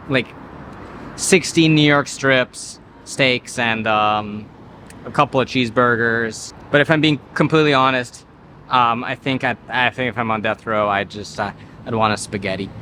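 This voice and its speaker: A man's voice: medium pitch, a little hiss or hum. A young man from Romania with an American accent, chatting casually about food in an interview. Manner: relaxed, rambling with fillers. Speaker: food video creator.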